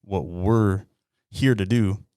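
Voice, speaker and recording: A man in his thirties talking bluntly and earnestly on a podcast, often halting and repeating his words. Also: fairly low pitch, mellow, no echo, clean studio sound. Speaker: podcast host, police officer. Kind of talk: heartfelt interview talk.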